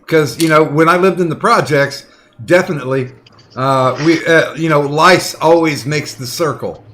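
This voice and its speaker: A man with a slightly raised voice tells crude stories in a halting way, stopping often for ums and restarts. His humor stays dry and deadpan. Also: fairly high pitch. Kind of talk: crude casual banter.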